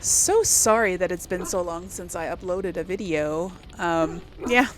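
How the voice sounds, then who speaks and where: A woman's voice: lowish voice, animated, unhurried, slight background noise, rough recording. A woman giving casual let's play commentary as host, rambling as she thinks aloud and corrects herself. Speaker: gaming video host.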